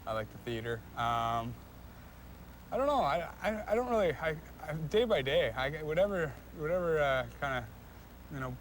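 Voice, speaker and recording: A young man, a hockey player, giving casual, halting interview answers with repeated words and frequent filler phrases. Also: on the high side, sing-song, a little hiss or hum. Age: young adult.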